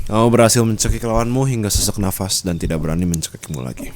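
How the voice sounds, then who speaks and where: A man's voice: quick pace, darkish tone, slight background noise, close to an everyday voice. A man playing a dominant, menacing rich husband in an ASMR roleplay, murmuring taunts intimately and slipping into whispers and English endearments. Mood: menacing, savoring. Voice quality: very deep, gravelly. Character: dominant, menacing wealthy new husband toying with treacherous bride.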